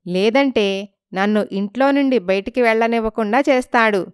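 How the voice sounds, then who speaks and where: Polite young wife, voiced by a woman, clear and high. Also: normal speed, dry recording, clean studio sound, natural voice. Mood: determined. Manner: polite, pleading. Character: wronged young village wife, polite, quietly determined. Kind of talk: dramatised story acting.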